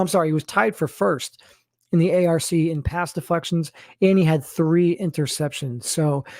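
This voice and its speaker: A man hosting as a sports team general manager, announcing to followers and stressing names and stats with deliberate emphasis. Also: high-pitched, darkish tone, no echo, clean studio sound.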